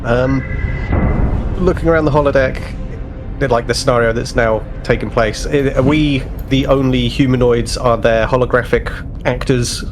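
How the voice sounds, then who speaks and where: A man's voice: medium pitch, fairly even intonation, normal speed. Man chatting as a tabletop game player. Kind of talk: casual in-game chat. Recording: faint music bed behind voice.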